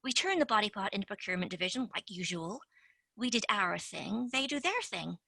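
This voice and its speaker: A woman playing a pushy, scheming young female tooth fairy agent in a stage play, fast-talking and dropping into conspiratorial whispers. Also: mid-range voice, sing-song, gravelly, pushed and bright, no echo, heavily acted. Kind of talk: amateur stage-play acting.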